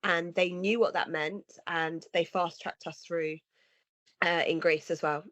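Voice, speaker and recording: A woman in her thirties with a cold, sounding slightly nasal and husky, chatting earnestly as a podcast co-host. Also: on the low side, fairly even intonation, a little slow, fairly bright tone, no echo. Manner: earnest, rambling with restarts. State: has a cold, sniffly. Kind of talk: casual conversation. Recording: dry, slightly dull lo-fi sound.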